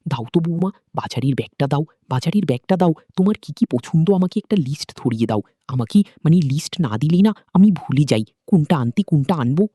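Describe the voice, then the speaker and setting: Woman voicing a coaxing older father-in-law, very animated. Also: low voice, fairly quick, slightly rough, no room sound. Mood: coaxing, familiar.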